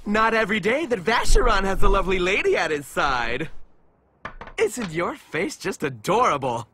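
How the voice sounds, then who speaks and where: A woman's voice: medium pitch, sing-song, normal speed, fairly bright tone, slight background noise. Flirty, lilting female bartender. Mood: teasing, playful. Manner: gushing, teasing, laughing drawl. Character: flirty, teasing, playful woman bartender. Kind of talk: dubbed video game cutscene acting.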